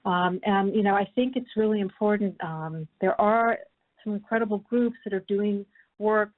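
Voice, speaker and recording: A woman filmmaker speaking earnestly and haltingly, unscripted, on a panel call. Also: mid-range voice. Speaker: documentary filmmaker. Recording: muffled narrow-band conference call line.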